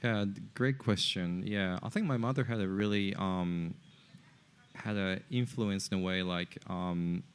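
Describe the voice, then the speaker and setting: A middle-aged man whose first language is Japanese, a podcast guest talking in a halting, casual way with many fillers and restarts. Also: low-pitched, fairly even intonation, smooth. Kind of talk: casual interview talk.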